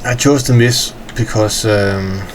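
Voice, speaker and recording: A man's voice: on the low side, slow pace, a little hiss or hum. A tired man from Denmark with a Danish accent, rambling with hesitant fillers as he tours his in-game hangar. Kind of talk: casual game walkthrough commentary.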